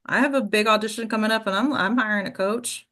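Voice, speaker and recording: A woman's voice: medium pitch, fast, pushed and bright. Lady acting coach explaining stuff casually, keeps checking everyone's following. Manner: explanatory, checks in with "okay?" and "right?". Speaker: acting coach and class host. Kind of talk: informal teaching talk.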